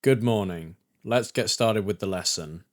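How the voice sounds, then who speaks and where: Man as a low-voiced schoolteacher. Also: measured pace, natural voice. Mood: businesslike. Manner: formal, instructing. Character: formal adult male schoolteacher addressing class.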